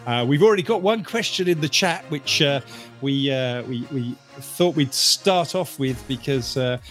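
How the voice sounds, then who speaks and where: A man hosting a casual panel podcast, speaking in a halting, hesitant way. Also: mid-range voice, lively intonation, fairly bright tone, soft background music. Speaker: podcast host.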